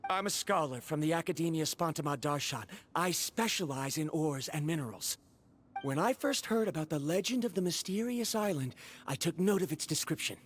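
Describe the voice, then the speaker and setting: Eager, animated, formal scholar. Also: female voice, low-pitched, moderate pace, slightly rough, faint music behind. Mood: proud, explanatory. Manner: formal, theatrical. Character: eager, self-assured scholar of ores, proud and excitable. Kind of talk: English video game voice acting.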